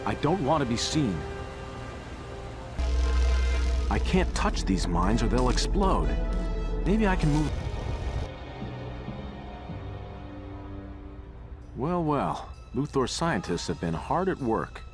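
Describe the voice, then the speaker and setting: An actor playing a calm, determined and protective male superhero in a video game cutscene, with music behind him. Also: mid-range voice, normal speed, close to an everyday voice. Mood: wary.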